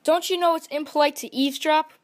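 A woman's voice: high voice, expressive, normal speed, voice pushed up. A woman voicing a vain, insecure, grouchy little male chameleon for a children's storybook, boastful and defensive in manner.

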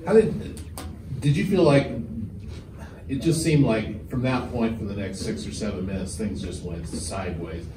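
A male reporter puts questions to the coach, stumbling and restarting as he goes. His voice is lowish and sounds distant. The room echoes, with noise underneath. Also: moderate pace. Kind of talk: press conference questions.

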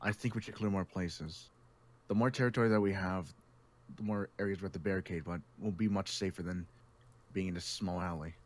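A man's voice: low voice, steady tone, brisk, lightly acted. A man playing a level-headed, take-charge survivor who mediates in a game roleplay. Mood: firm.

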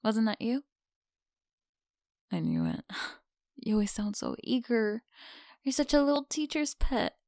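A woman's voice: fairly high pitch, wildly swooping pitch, measured pace, pauses often, darkish tone, no echo, clean studio sound, heavily acted. A young woman with an American accent playing a mean, teasing college bully in an ASMR audio roleplay, mocking and slangy. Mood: bossy, dismissive. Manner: mocking, sighing, casual slangy talk. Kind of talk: ASMR audio roleplay, one-sided dialogue.

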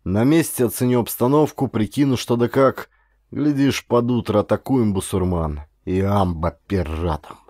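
A man's voice: fairly low pitch, normal speed, mellow, dry recording, studio quality, close to an everyday voice. A man voicing a bold, swaggering Siberian Cossack warrior in an audiobook. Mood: resolute, cocky.

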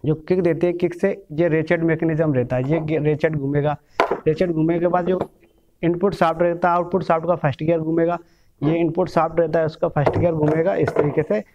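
A man's voice: on the high side, fairly even intonation, fairly quick, darkish tone. A man in his thirties teaching bike mechanics, explaining step by step while handling engine parts in a small room. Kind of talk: hands-on workshop lesson. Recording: dull lo-fi sound.

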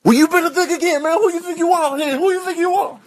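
A man's voice: very high-pitched, steady tone, quick pace, noisy background. An American man voicing a cocky, quarrelsome wild animal in a comic dub, boastful and confrontational. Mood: challenging.

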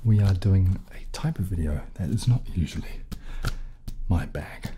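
A young man with a very low voice talks in a casual, intimate ASMR style. He drifts between soft speech and whispering, unhurried and a little hesitant, with clear noise behind him. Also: animated. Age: young adult. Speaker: ASMR video creator. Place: small dim indoor room. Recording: clearly audible background noise, added rain.

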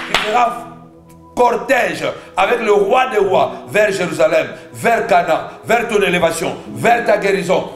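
A man preaching a fervent charismatic sermon in a declamatory way, hammering phrases through insistent repetition. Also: high voice. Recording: echoey room with background music.

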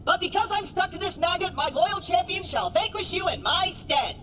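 Squeaky boastful little robot. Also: male voice, animated, moderate pace, sharp tone, echoey room, far from the mic, slight background noise, exaggerated voice. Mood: bombastic. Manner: pompous, bombastic, exclamatory. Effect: synthetic robot voice processing. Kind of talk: video game character acting.

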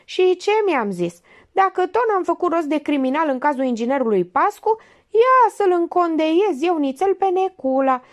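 A woman's voice: very high voice, expressive, pitched above the performer's own voice. Woman reading as a sarcastic older lady sleuth. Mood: mocking. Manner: mocking, needling, pointed. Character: sharp sarcastic older female amateur detective.

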